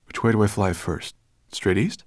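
A man voicing a gentle, noble male dragon in a storybook, earnest and questioning. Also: lowish voice, normal speed, no room sound, clean studio sound, voice pushed up, close to an everyday voice. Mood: eager.

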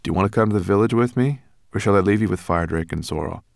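A man voicing a plucky, eager human boy in an audiobook, talking quickly. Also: lowish voice, vocal fry, no room sound, studio quality, pitched above the performer's own voice. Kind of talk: audiobook character voice.

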